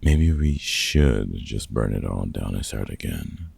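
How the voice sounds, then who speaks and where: Gruff veteran monster hunter with a soft heart, played by a man, audio roleplay; very husky, unhurried, sweary mentor. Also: a bass voice, animated, no room sound, full character voice. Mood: grumbling, cynical. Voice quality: gravelly, husky. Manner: gruff, sweary, casual mentor talk with pauses. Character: gruff, kind-hearted veteran male vampire hunter and woodworker. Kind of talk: comfort ASMR audio roleplay.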